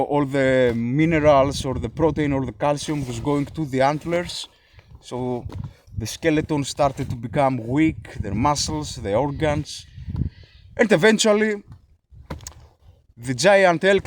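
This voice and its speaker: A Greek man speaking English as a second language, explaining a philosophy idea in a casual monologue, halting with frequent fillers and restarts. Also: medium pitch, slight background noise. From Greece.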